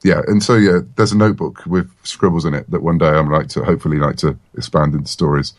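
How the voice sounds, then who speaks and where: A man's voice: rumbling low, fast talker. A man being interviewed in a casual chat, talking in an enthusiastic, rambling way. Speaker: interview guest, comic writer.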